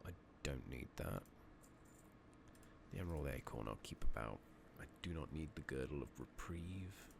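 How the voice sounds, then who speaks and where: A British man giving soft-spoken, partly whispered ASMR commentary on a video game, muttering casually to himself on a close microphone. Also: rumbling low, animated, vocal fry. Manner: casual, unhurried, muttering to himself, mild swearing. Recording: noisy mic with keyboard clicks.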